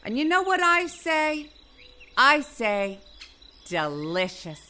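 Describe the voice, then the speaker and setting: A woman playing a wry, affectionate, brassy female vulture in a comic audio play, with emphatic, drawn-out delivery. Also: sits high, very animated, very noisy, heavily acted. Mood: fond, wry.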